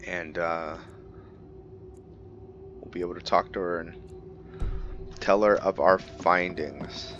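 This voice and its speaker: Deep-voiced man narrating his gameplay. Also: steady tone, slow, long pauses, highs cut off, background noise. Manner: curious, thinks out loud, with the odd hushed whisper. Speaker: gameplay commentator. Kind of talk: casual let's-play commentary.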